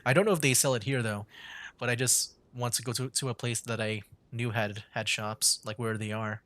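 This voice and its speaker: A man's voice: mid-range voice, fairly even intonation, moderate pace, fairly bright tone, no room sound. A man doing casual live game commentary as a streamer, often chuckling as he talks. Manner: chuckles often while talking. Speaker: streamer and gamer. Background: faint game music.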